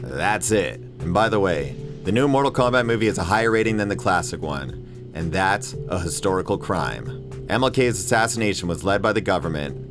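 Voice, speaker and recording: A man's voice: low-pitched, animated, brisk. A man in his thirties narrating a casual video essay in an irreverent, opinionated, slangy and profane way. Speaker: video essayist, channel host. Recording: music playing behind the voice. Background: background music.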